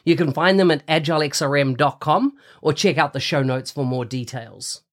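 Bright-voiced male podcast host, slightly higher pitched. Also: moderate pace, heavy background noise. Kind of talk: podcast interview hosting.

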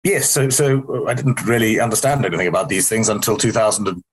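A middle-aged man from South Africa with a softened South African-English accent, answering in an interview in a rambling, wry way that corrects itself. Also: lowish voice, steady tone, fairly quick, husky. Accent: South African softened toward English. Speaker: interview guest, company founder.

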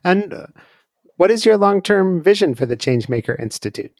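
A man's voice: sits high, animated, brisk, darkish tone, no room sound, studio quality. A man interviewing a guest, asking short encouraging questions.

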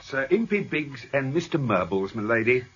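A man's voice: mid-range voice, sing-song, normal speed, natural voice. A man playing a discreet, deferential English manservant in a radio drama. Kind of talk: BBC radio drama acting. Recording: dull, faintly noisy radio recording.

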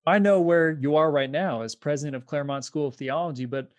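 A man's voice: a little high, brisk. A man hosting an audio series, talking in an upbeat, friendly way. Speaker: podcast host. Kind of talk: podcast interview.

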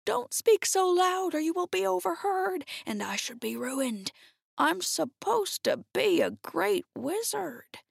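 A woman's voice: a little high, lively intonation, a little slow, no room sound, clean studio sound. Woman voicing a meek little old humbug wizard. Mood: meek, hushed. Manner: meek, pleading, avuncular. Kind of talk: storybook character reading.

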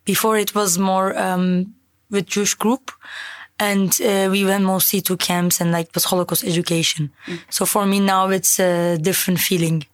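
A woman's voice: mid-range voice, level tone, normal speed. Hesitant woman seminar participant. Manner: hesitant, thoughtful.

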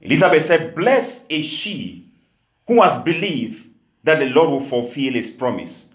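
A man's voice: a little high, very animated, unhurried. A man from Cameroon preaching a sermon as pastor, emphatic, with laughing asides. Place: church hall. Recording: echoey church hall.

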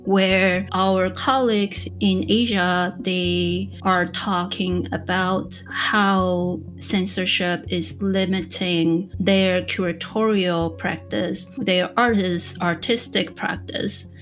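A woman from Taiwan answers interview questions about her work as a media conservator. She speaks slowly and carefully. Her voice sits a little low for a woman. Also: steady tone, fairly bright tone, soft background music. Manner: measured, thoughtful, slow explaining.

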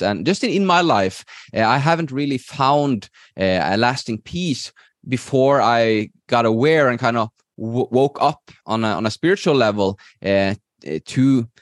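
A man's voice: mid-range voice, no room sound, clean studio sound. A middle-aged man with a Norwegian accent, hosting a podcast and telling his faith story in a hesitant, reflective way, full of fillers and restarts. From Norway. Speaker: podcast host.

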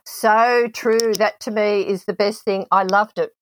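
A woman's voice: medium pitch, fairly even intonation, unhurried, rough recording. A woman hosting an interview, telling stories in a reflective, conversational way. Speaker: host.

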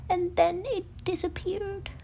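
A woman voicing a gentle, puzzled toy bear in a children's storybook, pondering as it speaks. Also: very high-pitched, little pitch movement, slow, vocal fry, a little hiss or hum, voice pushed up, full character voice, poor audio. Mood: confused.

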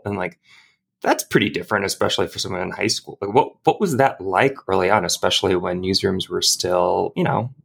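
Male interviewer, lowish gravelly voice with vocal fry, conversational, circling gently into each question.

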